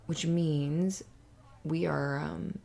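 A young woman voicing a friendly, bashful cheerleader in an intimate ASMR roleplay, talking in a halting, giggly, flustered way. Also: low voice, fairly even intonation, gravelly, mellow, full character voice. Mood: reassuring, flustered.

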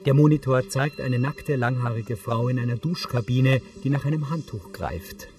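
A man's voice: medium pitch, moderate pace, music in the background. A man reading scripted audio description in a matter-of-fact, articulate way. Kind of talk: scripted narration.

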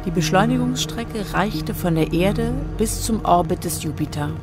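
A woman's voice: fairly low pitch, expressive, moderate pace, over music. A woman narrating a radio drama from a script.

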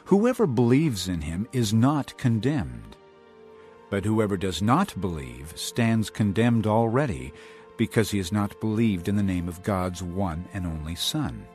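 Calm authoritative teacher, earnest, solemn, expressive melody, scripture drama, background music. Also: male voice, on the low side, unhurried. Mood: solemn teaching.

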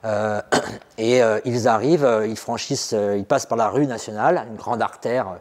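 A man's voice: on the low side, normal speed, a little mellow. A middle-aged man guiding visitors through an exhibition, explaining in unscripted talk full of fillers and restarts. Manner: hesitant, many fillers and restarts. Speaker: exhibition guide. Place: exhibition room indoors. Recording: reverberant room.

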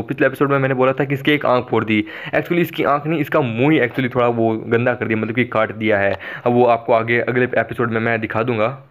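Excited, chatty young man narrating. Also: medium pitch. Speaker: anime recap narrator. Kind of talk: casual explainer narration.

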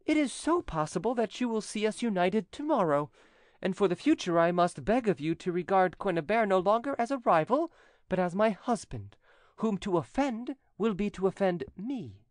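A male narrator puts on a proud, haughty widow from a storybook. The voice sits high and moves in lively swoops, emphatic and theatrical. She sounds self-satisfied and ready to scold, in a very dry recording. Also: moderate pace, no echo. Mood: triumphant.

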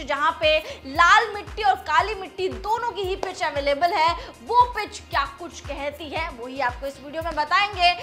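A young woman hosts a sports preview with a lively, hand-waving delivery. Her voice sits very high and sounds bright. She is picked up on a clip-on mic in a studio, with faint music playing under her. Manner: lively, gestures with her hands while talking. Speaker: cricket video presenter. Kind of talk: energetic sports preview presentation.

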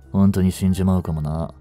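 A man playing a blunt, languid young delinquent and protective childhood friend, drawling gruffly and teasingly in an intimate audio drama. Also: low-pitched, dark tone, lightly acted. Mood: teasing, sullen.

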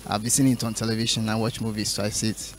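A man giving short, unhurried interview answers at a bowling alley. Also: on the low side, flat delivery, a little hiss or hum, poor audio. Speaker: bowler, men's winner.

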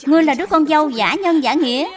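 A woman's voice: high voice, lively intonation, fast talker. A woman voicing a bitter old mother-in-law in a cartoon dub, harsh and suspicious. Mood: furious scolding.

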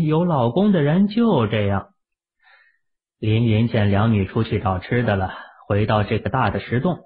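A man narrating a novel as an audiobook in an expressive storytelling manner. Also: mid-range voice, husky. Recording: tinny phone-like audio.

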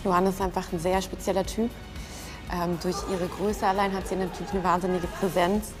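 A woman's voice: lowish voice, little pitch movement, moderate pace, over music, crowd noise. A woman at a model casting, politely announcing decisions and praising candidates. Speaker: casting client. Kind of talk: casting feedback.

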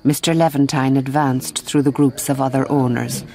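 A woman playing an observant Mother Superior who narrates a radio drama in a measured, literary manner. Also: extremely low pitch, level tone, gravelly, dark tone. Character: composed, observant Mother Superior telling the story.

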